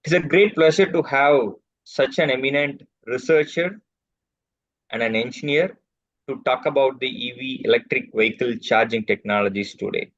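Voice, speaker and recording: A man hosts an online seminar, formally reading out a guest's credentials in measured bursts. His voice sits at a middle pitch. The sound is dull and dry, like call audio. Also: a bit bright, dry recording. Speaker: host introducing a guest speaker. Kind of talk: formal reading of a speaker introduction.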